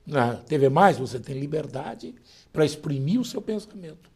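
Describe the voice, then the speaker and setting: An older Brazilian man, a veteran journalist, talking with a lively, conversational manner in a TV interview. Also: fairly high pitch, moderate pace, husky. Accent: Brazilian Portuguese.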